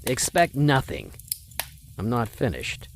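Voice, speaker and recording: A man's voice: mid-range voice, wildly swooping pitch, slow pace, vocal fry, a little hiss or hum. A man playing a stern, condescending wealthy father who refuses a suitor, in an old-time radio drama. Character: stern wealthy older father, Mister Spencer. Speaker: voice actor.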